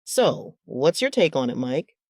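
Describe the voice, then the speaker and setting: A woman's voice: very deep voice, very animated, measured pace, darkish tone, no room sound, studio quality, full character voice. A woman playing a stern, cautious director in a sci-fi audio drama, speaking with commanding authority. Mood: stern, wary.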